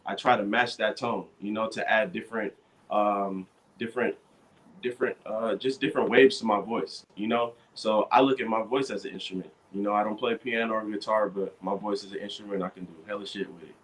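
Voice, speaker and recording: A man, a guest singer, talks in a relaxed, slangy way, circling around his point with fillers. His voice sits fairly low, and a faint hiss of noise lies behind it. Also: a little fast, low-quality recording. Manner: laid-back and slangy, rambling with fillers. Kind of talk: casual interview chat.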